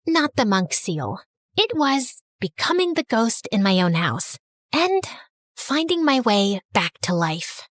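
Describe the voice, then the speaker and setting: A woman narrating a scripted animated story in the first person as a teenage girl, expressive and dramatic. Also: on the high side, no echo, studio quality.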